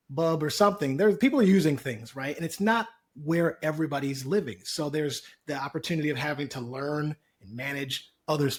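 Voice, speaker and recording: A man hosting a casual webinar, upbeat, loose and jokey, speaking over a video call. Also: fairly high pitch. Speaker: webinar host, co-founder.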